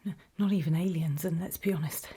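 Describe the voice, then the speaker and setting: A woman playing a nervous, apologetic apocalypse survivor in a horror ASMR roleplay, in a soft, breathy whisper with a halting, self-conscious delivery. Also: lowish voice, fairly even intonation. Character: nervous, apologetic female zombie-apocalypse survivor recording a warning.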